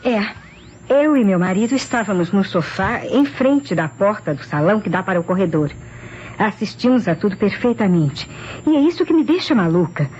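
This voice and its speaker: A woman's voice: medium pitch, big pitch swings, normal speed, darkish tone, close to an everyday voice. A woman playing an emphatic, baffled wife giving testimony in an old radio mystery. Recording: dull, noisy old radio drama recording.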